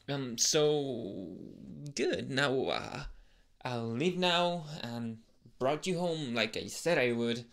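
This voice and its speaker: A man's voice: medium pitch, creaky, pushed and bright, heavily acted. A man playing a flustered tsundere anime boy with a secret crush, stammering and hesitant in an intimate ASMR boyfriend roleplay. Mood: flustered, awkward.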